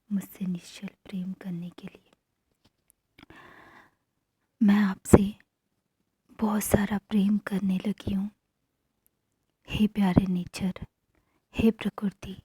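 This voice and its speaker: Woman, whispered breathy voice, slow pace, dark soft tone, guided meditation affirmations, short hushed phrases. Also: medium pitch, no echo.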